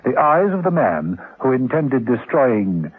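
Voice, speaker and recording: A theatrical storyteller on an old, band-limited radio recording. His pitch swings widely as he sets out a spy thriller with dry wit and deliberate suspense. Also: very animated, slightly rough, narrow, tinny audio. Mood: suspenseful, wry. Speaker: narrator of a radio serial. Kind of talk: dramatic scripted radio narration.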